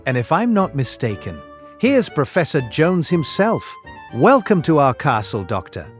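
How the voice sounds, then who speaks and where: Casting: gruff, fatherly old inspector, teasing and hearty, hugely animated delivery, audiobook mystery drama. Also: a little high, normal speed, soft background music. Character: genial, irritable old police inspector, father of grown son.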